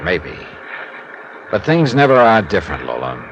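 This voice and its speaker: A man's voice: sits low, very animated, normal speed, dull audio. An American man playing a hard-boiled, wry investigator in a vintage radio drama, with a clipped, dry way of talking. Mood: terse, guarded. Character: hard-boiled, wry American male insurance investigator narrating his case. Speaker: lead actor and narrator. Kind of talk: old-time radio detective acting. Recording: noisy vintage radio recording.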